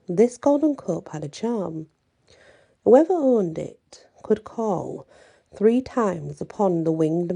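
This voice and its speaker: A woman narrates a bedtime story in ASMR style, very soft and dark in tone. Her voice is husky and creaky, and she lingers over phrases in a hushed, unhurried way, now and then turning aside to the listener. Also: fairly low pitch. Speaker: storyteller reading a tale aloud.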